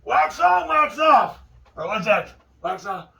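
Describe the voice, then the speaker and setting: A man's voice: extremely high pitch, very animated, slow pace, gravelly, recorded from a distance, background noise. A man presenting an upbeat tutorial as an instructor, with goofy, exaggerated exclamations and asides.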